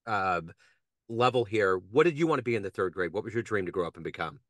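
A man's voice: on the low side, quick pace, a bit bright, dry recording. A middle-aged man hosting an interview over a video call, asking casual questions between brief acknowledgments. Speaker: interview host. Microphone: video call webcam.

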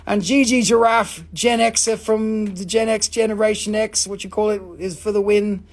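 A man hosting a live rock sale, joking, swearing and rambling in fast auction patter. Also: high voice, steady tone. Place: mineral shop indoors.

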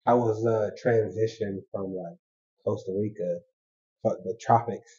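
Soft-spoken man hosting from a couch. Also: lowish voice, measured pace, dull audio. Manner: relaxed, lots of fillers, soft-spoken. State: congested from allergies. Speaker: podcast host. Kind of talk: casual interview. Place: living room, sitting on a couch.

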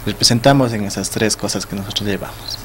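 A young man with an Ecuadorian Spanish accent speaks into a desk microphone in a small TV studio. His voice sits on the low side, and he gropes for words, restarting and repeating himself, over a faint background hiss. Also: quick pace, a bit bright. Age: young adult. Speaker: festival sponsor guest. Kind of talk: studio interview. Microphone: desk mic on stand.

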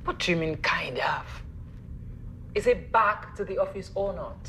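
Stern older mother-in-law, very low voice, pitch swinging wide, sharp interrogating questions, faint noise behind. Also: expressive, unhurried. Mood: demanding. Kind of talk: film drama acting.

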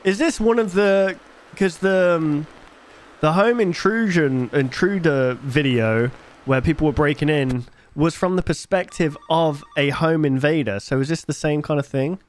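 A man's voice: on the high side, unhurried, a little hiss or hum. A man in his twenties giving casual reaction commentary into a close desk microphone. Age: 20s. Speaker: reaction video host. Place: bedroom gaming setup, indoors.

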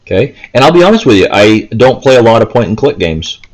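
A man's voice: medium pitch, big pitch swings, fast, darkish tone. A man giving a casual, chatty gameplay walkthrough, hesitant and thinking aloud as he goes. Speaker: video game player and commentator. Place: indoors, seated, filming handheld gaming screen.

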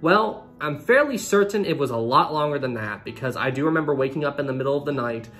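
A young man in his twenties telling a travel story to camera in a small room, animated and conversational. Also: a little high, fast, faint music behind. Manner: animated, conversational, addresses viewers directly. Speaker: travel vlogger.

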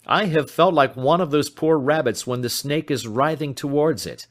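A man voicing an anxious, despairing young gentleman in an audiobook, formal and earnest in manner. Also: medium pitch, big pitch swings, normal speed, voice pushed up, natural voice. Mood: helpless, fearful. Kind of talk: audiobook character voice.

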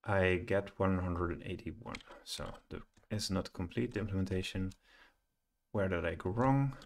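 A middle-aged man thinking aloud as he presents a coding screencast, halting and muttering to himself at a computer. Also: deep voice, darkish tone, a little hiss or hum. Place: small room indoors at home, at a computer. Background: keyboard typing and clicking.

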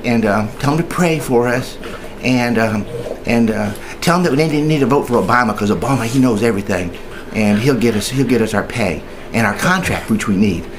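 A man with a mid-range voice gives an informal, chatty how-to talk as a union member. He rambles in a folksy way, hesitating and repeating himself. It sounds like an amateur recording made at home, with noticeable noise in the background. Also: mid-range voice. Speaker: union member giving tips. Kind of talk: informal training video, chatty monologue.

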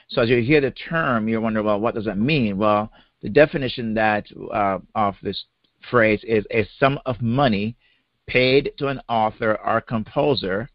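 A man's voice: fairly low pitch, no room sound. A man with a Jamaican accent co-hosting a podcast in casual chat, often repeating a word as he gets going. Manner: conversational, repeats words as he starts phrases. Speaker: podcast co-host. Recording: dry, slightly dull podcast audio.

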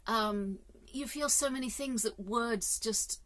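A woman's voice: a little high, slow, fairly bright tone. A woman in her fifties from England, musing earnestly in a casual vlog, filmed on a phone held at arm's length inside a caravan. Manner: earnest, musing, with hesitant fillers. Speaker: vlogger. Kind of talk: casual reflective monologue. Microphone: phone camera at arm's length.

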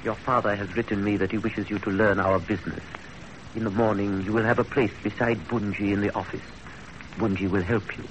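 An actor playing a stern, courteous old Japanese father in a 1930s radio drama, speaking in measured, formal phrases. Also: lowish voice, level tone, a little hiss or hum, natural voice, rough recording. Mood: formal, kindly.

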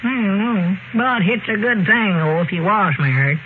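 An actor playing an excitable, teasing old country storekeeper in a folksy rural Southern accent, in classic radio comedy style. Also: male voice, sits high, moderate pace. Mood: folksy, earnest. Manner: folksy, rambling, giggly. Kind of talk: 1930s-40s radio comedy acting. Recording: scratchy, narrow-band old radio recording.

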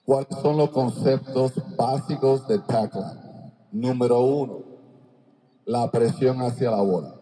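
A man's voice: mid-range voice, slow pace, mellow. A man, a basketball coach from Ohio, lecturing at a seminar over a microphone in a large indoor arena. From Cleveland, Ohio, United States. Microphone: handheld microphone through a PA, far camera. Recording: long echo of a large gymnasium.

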